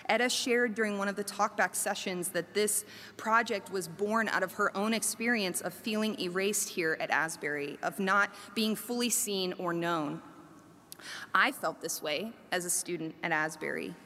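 A woman professor gives a prepared talk to listeners in an earnest, measured way, building her points through emphatic lists. Her voice sits at an ordinary middle pitch and carries a long reverb tail. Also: bright, forceful tone. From Korea.

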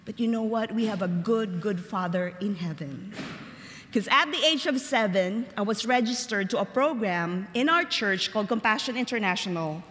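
A young woman from the Philippines, giving a personal testimony as a chapel speaker, chatty and slowing down for emphasis. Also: mid-range voice, animated, fairly bright tone. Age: young adult. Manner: conversational, laughs easily, slows for emphasis. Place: large chapel. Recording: long hall reverb.

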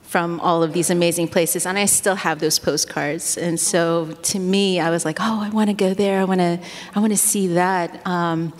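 A woman's voice: on the low side, steady tone, normal speed, hardly any pauses. A middle-aged woman born in the United States, whose first language is Korean, talking as a guest in an interview, rambling and self-deprecating with many fillers. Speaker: guest speaker. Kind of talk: casual interview talk. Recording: long hall reverb.

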